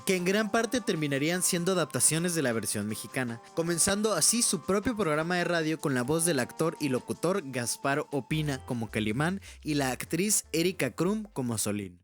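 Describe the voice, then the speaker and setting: A man reads scripted documentary narration in a slightly high voice. Faint music plays underneath as he presents in the manner of a video essay.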